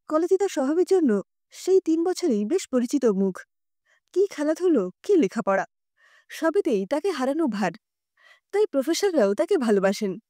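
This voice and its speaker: A woman narrating a scripted story in an expressive storytelling manner. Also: high-pitched, no echo, clean studio sound.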